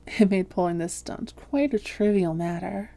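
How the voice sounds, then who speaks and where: A woman playing a haughty, seductive vampire hypnotist, breathy and often whispering, with a smug, teasing, slow and coaxing delivery in an intimate roleplay audio. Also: medium pitch, warm tone, close to an everyday voice. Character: haughty, seductive female vampire hypnotist toying with a captured hunter.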